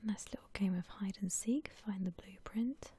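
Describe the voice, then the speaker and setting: A woman whispering softly in a breathy, gentle, unhurried way, musing aloud as she gives ASMR commentary on a game. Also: mid-range voice. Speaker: host of a gaming ASMR channel.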